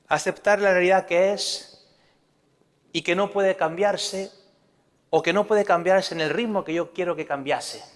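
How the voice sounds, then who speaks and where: A man's voice: high voice, big-room sound. A man from Spain with a Spanish accent, a priest giving a sermon in an earnest teaching tone, often asking rhetorical questions.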